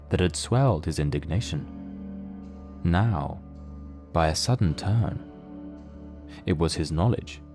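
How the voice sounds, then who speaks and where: A man narrating a literary mystery as scripted audio drama, in a measured, solemn storytelling manner. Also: deep voice, mellow.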